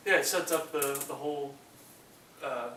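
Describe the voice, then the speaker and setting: A man's voice: a little high, normal speed, bright, forceful tone. A man talking casually about film as a podcast co-host. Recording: echoey room, distant mic, faint noise.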